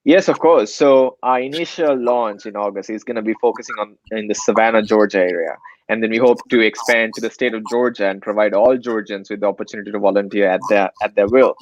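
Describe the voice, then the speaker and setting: A man's voice: fairly low pitch, brisk, dry recording, muffled recording. A young man from Sri Lanka, a nonprofit director, earnestly explaining his project in an interview with many fillers. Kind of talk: interview answers.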